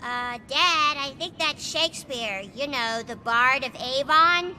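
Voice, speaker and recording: A woman voicing an earnest, precise and determined young girl in an animated video game comedy. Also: high voice, slow pace, a little hiss or hum, exaggerated voice. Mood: eager.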